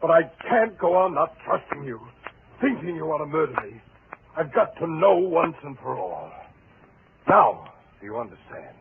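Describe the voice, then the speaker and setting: An actor playing a bitter, obsessed, menacing husband in a theatrical, mock-polite style for a 1940s radio thriller. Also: male voice, a little high, sing-song, normal speed. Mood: anguished, desperate. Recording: tinny, hissy 1940s radio recording.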